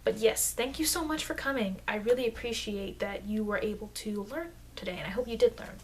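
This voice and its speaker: A woman playing a friendly, rambling vampire lecturer in an improvised ASMR roleplay, speaking in halting, chatty asides that aim to reassure her human listener. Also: natural voice. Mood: friendly, reassuring. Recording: noisy, low-grade microphone.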